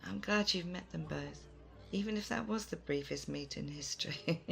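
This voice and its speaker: A woman playing a thoughtful, kind, self-doubting leader in an audio roleplay, soft-spoken, hesitant and gently earnest. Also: fairly low pitch, normal speed, creaky, slight background noise. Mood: fond, thoughtful. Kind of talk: immersive audio roleplay addressed to the listener.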